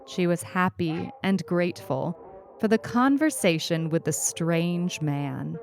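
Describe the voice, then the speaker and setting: A woman reading a scripted short story as narrator, deliberate and expressive. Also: on the low side, vocal fry. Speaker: story reader. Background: music.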